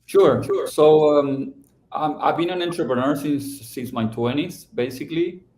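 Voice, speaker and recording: A middle-aged man from Argentina, answering podcast interview questions about his company, halting and restarting often. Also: on the high side, darkish tone, roomy sound, poor audio. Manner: halting, with many restarts and fillers. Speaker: company founder and CEO.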